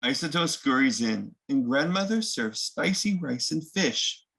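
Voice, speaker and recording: Gravelly-voiced man reading a picture book aloud. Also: mid-range voice, lively intonation, a little slow, a bit bright. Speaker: read-aloud host. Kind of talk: children's picture book read-aloud with casual asides.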